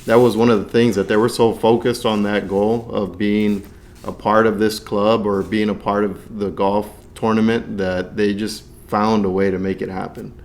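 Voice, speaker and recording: A man's voice: fairly low pitch, flat delivery, normal speed, darkish tone. A man talking casually on a podcast, explaining his points in an encouraging, conversational way. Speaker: podcast co-host or guest. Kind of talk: casual conversational movie discussion.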